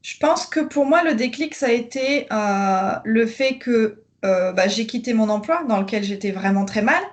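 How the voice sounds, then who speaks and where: A woman's voice: fairly high pitch, lively intonation, normal speed. A woman in her thirties from northern France, hesitantly recounting her personal journey with many fillers, over a video call. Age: 30s. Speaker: coaching client giving a testimonial. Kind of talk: conversational interview.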